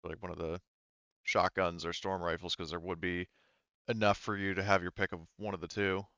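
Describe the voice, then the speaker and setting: A man running a tabletop game as game master, talking informally and haltingly as he searches for words. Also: sits low, level tone, bright, forceful tone, dry recording, muffled recording. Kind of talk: casual game narration at a tabletop session.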